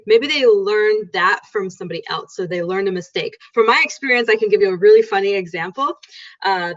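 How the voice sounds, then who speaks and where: A woman's voice: mid-range voice, fairly bright tone. A woman teaching in a casual chat, explaining things through examples. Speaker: English teacher. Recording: dull lo-fi sound.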